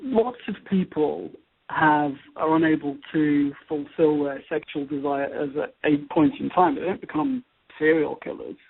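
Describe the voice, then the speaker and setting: Guy doing astrology talk, careful halting delivery, slightly high gravelly voice, thin phone sound. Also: animated, no room sound. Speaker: astrological reader. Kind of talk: conversational debate about astrology. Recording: tinny, band-limited radio or phone-line audio.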